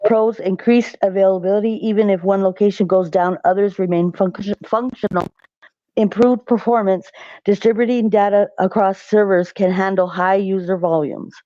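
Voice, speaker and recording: A woman co-hosting a casual online stream, reading text aloud haltingly with restarts and fillers. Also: mid-range voice, fairly even intonation, mellow, no echo, highs cut off. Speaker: co-host reading material aloud.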